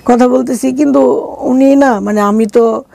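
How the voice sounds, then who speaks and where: A woman's voice: sits high, fairly even intonation, a little slow, creaky, mellow. A middle-aged woman with a Bangladeshi accent telling a personal story conversationally as an interview guest, speaking colloquially and acting out quoted dialogue.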